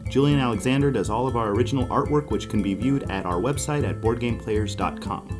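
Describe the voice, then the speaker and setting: A man's voice: mid-range voice, quick pace, music in the background. A man hosting a podcast, delivering credits and announcements. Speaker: podcast host.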